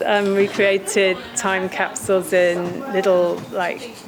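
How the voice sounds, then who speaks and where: A woman's voice: medium pitch, little pitch movement, takes it slowly, noisy background. A woman leading a community arts project, explaining its sessions with a few hesitations. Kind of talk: documentary narration.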